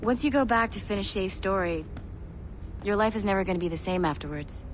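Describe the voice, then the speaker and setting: A woman's voice: mid-range voice, fairly quick, noisy background, natural voice. A woman playing a serious, urgent colleague giving warnings in a video game.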